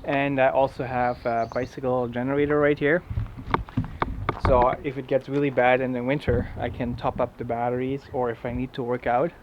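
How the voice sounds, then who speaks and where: A man's voice: medium pitch, little pitch movement, muffled recording, noisy background. German-accented man giving a home tour. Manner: halting, many fillers and restarts, laughs often. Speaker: tiny house owner giving a tour. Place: small wooden tiny house, indoors and just outside.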